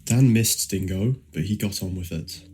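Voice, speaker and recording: A man's voice: low voice, normal speed, breathy edge. A man reading a short story aloud as narrator. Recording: voice over loud guitar music. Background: loud plucked guitar music.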